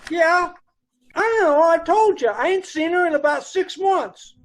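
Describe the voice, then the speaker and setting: An actor playing a brusque, chatty dance hall boss with folksy, blunt speech in an old-time radio police drama. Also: male voice, very high voice, animated, measured pace. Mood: offhand.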